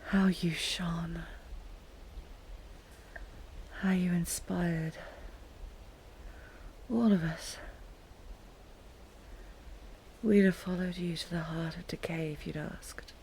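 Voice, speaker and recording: A woman playing a wounded, delirious captive queen in an intimate audio roleplay, breathy and often whispering, halting and lovelorn as if half-dreaming. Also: lowish voice, steady tone, darkish tone, a little hiss or hum, poor audio. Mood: wistful.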